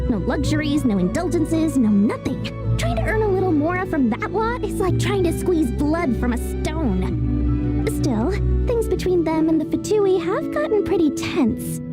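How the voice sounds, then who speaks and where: A woman's voice: very high-pitched, very animated, unhurried, heavily acted. A woman voicing a greedy, chatty young female merchant in a video game, talking in a theatrical, salesy way. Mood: gossipy, scheming. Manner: theatrical, salesy, self-promoting. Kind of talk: video game character acting. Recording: music under the voice.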